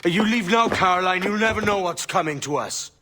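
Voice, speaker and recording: An actor playing a hot-headed, ambitious young husband in a game drama, husky and roughly spoken, indignant in manner. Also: male voice, sits high, brisk, sharp tone, slight background noise. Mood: angry, pleading. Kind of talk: game cutscene drama acting.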